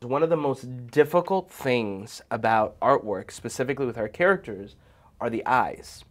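Man, 30s, talent show judge, measured, thoughtful, mid-pitched, lapel mic, small studio. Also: expressive. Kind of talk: casual commentary. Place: small studio with decorated wall.

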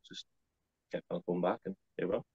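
A man's voice: low-pitched, very animated, brisk, mellow, husky, no echo. A nineteen-year-old man, answering interview questions hesitantly with many ums, on a video call from a small room at home. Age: young adult, 19. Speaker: wrestler, guest. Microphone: webcam on a video call. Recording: slightly dull lo-fi call audio.

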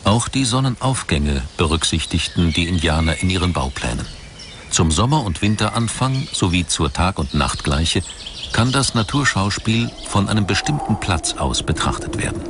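A man reading scripted documentary narration in a measured, articulate manner. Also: sits low, sing-song, noisy background.

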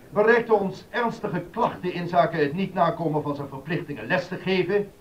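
A fairly high-voiced council chairman acted by a man in a period docudrama, formal and declamatory. He sounds off-mic, with a dull top end. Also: slight background noise, lightly acted. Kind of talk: Dutch docudrama acting. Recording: dull sound, distant from microphone.

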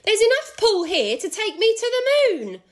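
A woman's voice: very high-pitched, huge pitch swings, moderate pace, voice pushed up, exaggerated voice. A woman voicing an ugly, beastly old wife who cries out frantically in a children's storybook. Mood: silly, alarmed. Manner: exclaiming, crying out.

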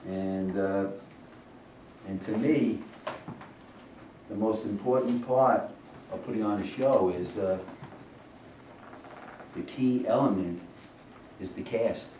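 Older man, low voice, echoey distant recording. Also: slow, pauses often, mellow. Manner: emphatic, rhetorical, often checks with "right?" and "okay?". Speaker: playwright and director introducing a staged reading. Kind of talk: informal welcome speech to an audience. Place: medium-sized indoor rehearsal room with audience. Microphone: camera several metres away. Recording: roomy, distant camera mic with faint noise.

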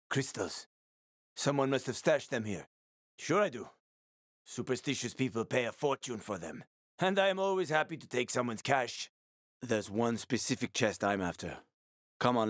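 A man's voice: mid-range voice, a bit bright, no room sound, clean studio sound. A male actor voicing a wry, streetwise survivor guide and ex-soldier in a video game, with colloquial, foul-mouthed talk. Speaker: video game companion character.

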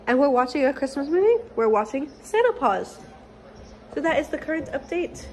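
A young woman in college chatting casually to her phone camera in a relaxed vlog, upbeat and full of fillers. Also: high voice, moderate pace, slight background noise. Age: young adult. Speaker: college student vlogger. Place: dorm room and outdoors. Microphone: phone held at arm's length.

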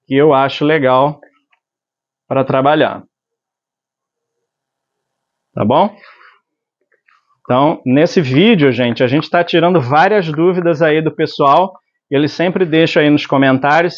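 A man in his forties from Brazil teaching screen printing in his workshop. He explains step by step while mixing inks and keeps checking in with the viewer. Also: fairly high pitch. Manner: explanatory, tag questions like "tá?". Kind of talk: hands-on tutorial. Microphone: lapel mic. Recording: slightly dull lo-fi sound.

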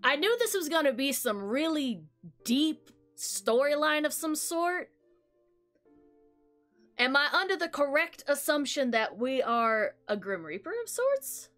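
A woman's voice: high voice, big pitch swings, measured pace, fairly bright tone. A young woman hosting a gaming video, chatting casually and expressively about what she played. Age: young adult. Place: small indoor room. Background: music.

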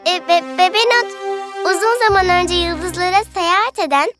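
A woman voicing an excitable baby girl storyteller in a children's cartoon, childlike, eager and theatrical. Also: very high voice, moderate pace. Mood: eager storytelling. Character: excitable baby girl space storyteller, fibbing but caring. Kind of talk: dubbed children's cartoon acting. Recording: loud music under the voice.

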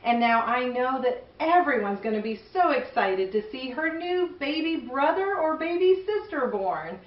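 A woman's voice: sits high, animated, normal speed. A middle-aged woman presenting enthusiastically to children as a read-aloud host. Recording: roomy, distant, slightly dull sound.

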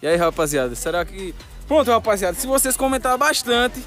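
A young adult man with a Northeastern Brazilian accent, talking in slangy, excitable vlog style with short repeated commands, outdoors on a phone. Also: high-pitched, fairly quick. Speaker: motovlogger. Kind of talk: casual vlog chat and riding instructions. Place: outdoors on an empty street by a motorbike. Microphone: phone at arm's length. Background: music, motorbike engine.

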